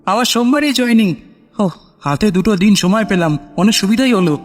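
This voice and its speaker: A man voicing an eager young grandson in his early twenties in a cartoon story, exclaiming excitedly. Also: sits high, fairly quick, in a large hall, voice pushed up. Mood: relieved, excited.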